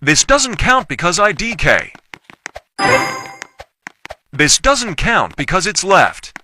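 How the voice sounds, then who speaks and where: Male gamer with a lively, higher-pitched voice. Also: takes it slowly, over loud music. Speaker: gaming video creator. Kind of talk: casual gameplay commentary.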